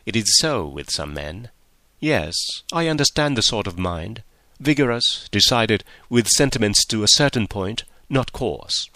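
A man voicing a confident young gentlewoman who speaks in a measured, gently lecturing way. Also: fairly low pitch, lively intonation, no room sound, heavily acted. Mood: assured. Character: poised, self-assured young gentlewoman.

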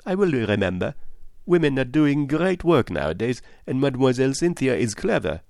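A man's voice: mid-range voice, sing-song, moderate pace, vocal fry, darkish tone, no room sound, clean studio sound. A man voicing a polite, inquisitive foreign detective in an audiobook, courteous and formally phrased as he probes. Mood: genial, curious.

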